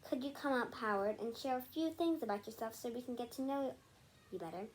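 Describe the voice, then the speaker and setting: A kind, patient schoolteacher voiced by a woman reading a storybook speaks in a high voice. She explains things to her class in an encouraging way, over slight background noise. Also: fairly quick, natural voice. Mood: calling the class. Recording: noisy home recording.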